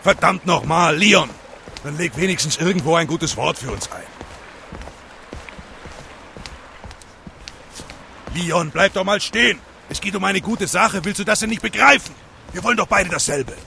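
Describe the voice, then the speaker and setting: A big, brash activist with a creaky, forceful voice that swings widely. He goads and pleads sarcastically in an audio drama. Light outdoor traffic noise sits behind him. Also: high-pitched, a little fast, exaggerated voice. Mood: heated. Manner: goading, emphatic, sarcastic. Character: huge, brash, provocative male eco-activist, sarcastic and insistent.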